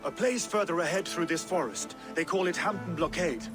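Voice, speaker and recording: Man acting a vengeful, high-pitched Viking warlord. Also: a little fast, lightly acted. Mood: brooding. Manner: archaic, grandiose, oath-laden speech. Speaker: actor in a video game. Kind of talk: dramatic game cutscene acting. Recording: music behind the voice.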